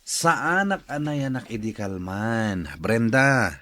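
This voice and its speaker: A man's voice: medium pitch, expressive, takes it slowly, a bit bright, no echo. A man playing an evasive married man in an Ilocano radio drama. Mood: defensive, exasperated. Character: evasive married man, grown son and cheating husband.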